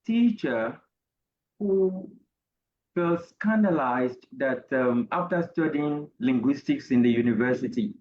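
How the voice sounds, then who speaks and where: A middle-aged Nigerian man, an interview guest, telling a personal story in a deliberate, halting academic way. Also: medium pitch, animated, reverberant, highs cut off, slight background noise. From Nigeria, Ogun State. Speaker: interview guest, university professor.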